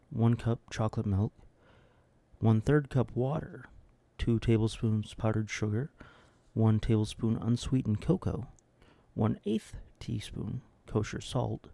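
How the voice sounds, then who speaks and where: A man with a low, gravelly, husky voice hosting a deadpan comedic reading of recipes. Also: no room sound. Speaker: host reading recipes and comments.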